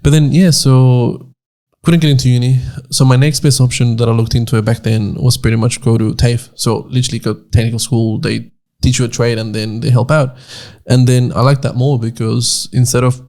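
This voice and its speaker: Gravelly-voiced man, from Nicaragua. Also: mid-range voice, fairly even intonation, normal speed, a little mellow. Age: 20s. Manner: rambling, repeats words while searching for them. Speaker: podcast co-host. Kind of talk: casual chat.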